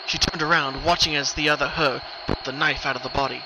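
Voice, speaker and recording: Man narrating dramatically over clear background noise. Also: steady tone, fast. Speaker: narrator of horror fan fiction. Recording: noisy recording, rumbling ambient background.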